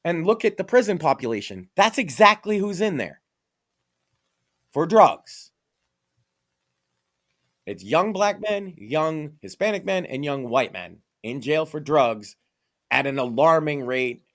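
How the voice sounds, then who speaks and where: A man's voice: on the high side, sing-song, unhurried, long pauses, a bit bright. A man from Massachusetts co-hosting a podcast, talking casually, with a profane, emphatic and opinionated manner. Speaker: podcast co-host.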